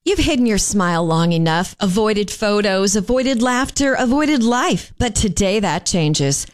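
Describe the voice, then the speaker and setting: A woman's voice: medium pitch, animated, measured pace, soft background music. A woman reading a scripted commercial in an emotive sales voice. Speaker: ad voice-over.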